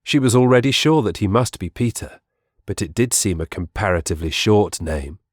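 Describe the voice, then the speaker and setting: A man's voice: fairly low pitch, expressive, moderate pace, no echo, clean studio sound. A man reading scripted storybook narration as the narrator of an audiobook.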